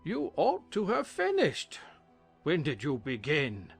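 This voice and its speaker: A man's voice: fairly high pitch, wildly swooping pitch, slow, gravelly, breathy edge, faint music behind, full character voice. A man playing a pompous, testy, dim-witted king who commands a court in an audio drama. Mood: imperious.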